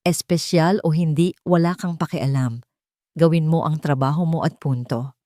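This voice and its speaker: A woman's voice: low voice, normal speed, warm tone, no room sound, studio quality, close to an everyday voice. Woman as a stern, commanding older housekeeper. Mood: dismissive. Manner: hard, commanding. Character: stern, authoritative older female head housekeeper.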